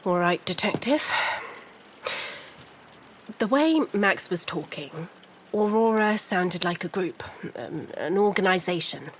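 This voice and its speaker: A woman's voice: fairly low pitch, lively intonation, slow, long pauses, slight background noise. An actress playing a refined, determined, anxious wife of a missing man in a 1930s noir audio drama, formal and articulate. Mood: composed, informative. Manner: formal, articulate, clipped politeness. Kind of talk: scripted period noir audio drama acting.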